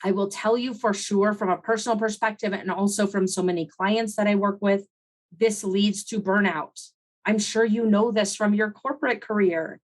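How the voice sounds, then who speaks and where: A woman's voice: medium pitch, steady tone, normal speed, poor audio. A middle-aged woman hosting a solo podcast, talking to listeners in a conversational, encouraging way, her voice just back after laryngitis. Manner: conversational, encouraging, frequent "right?" asides. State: recovering from laryngitis. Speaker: podcast host and consultant coach. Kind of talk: solo podcast teaching talk.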